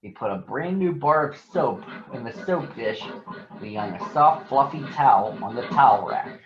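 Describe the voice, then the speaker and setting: A man addressing children as a picture book reader, speaking in deliberate phrases, in a read-aloud storytelling style. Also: mid-range voice, lively intonation, muffled recording, crowd noise.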